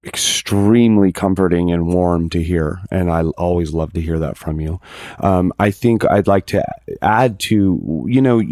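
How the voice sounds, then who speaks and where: A man guesting on a podcast, talking casually and reflectively, often halting as he searches for his words. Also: deep voice, darkish tone, no echo, clean studio sound.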